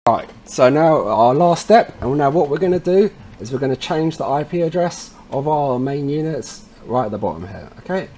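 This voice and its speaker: A man presenting a casual step-by-step software tutorial in an informal way, addressing viewers as guys. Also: on the high side, animated, normal speed, few pauses. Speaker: tutorial presenter, installer trade.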